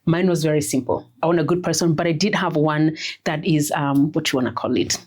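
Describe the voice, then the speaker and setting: Roundtable guest, woman, 50s, low voice, reflective storyteller. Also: fairly even intonation, a little fast, a little mellow. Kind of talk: casual chat.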